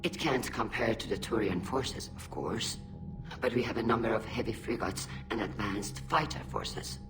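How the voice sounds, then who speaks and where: An actor playing a resolute, dutiful alien admiral in a video game, speaking in a firm, formal military manner. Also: male voice, on the low side, moderate pace, music in the background, natural voice.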